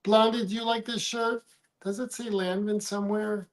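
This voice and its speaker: A man's voice: high voice, flat delivery, moderate pace. A man from the United States co-hosting a casual livestream, rambling, trailing off and restarting his sentences. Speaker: livestream co-host.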